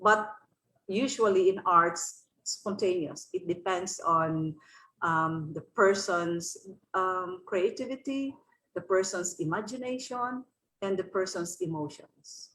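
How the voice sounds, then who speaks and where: A woman with a Filipino accent explaining to an online class as a teacher, pausing often to search for words. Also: on the low side, fairly bright tone. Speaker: resource speaker, teacher. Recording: roomy, echoing room sound.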